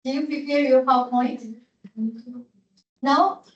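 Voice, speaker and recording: Woman, teacher, classroom instruction, halting with fillers, distant, roomy echo, dull sound. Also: high voice, fairly even intonation. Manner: hesitant, many "uh" fillers, repeats words. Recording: echoey classroom from far off, dull.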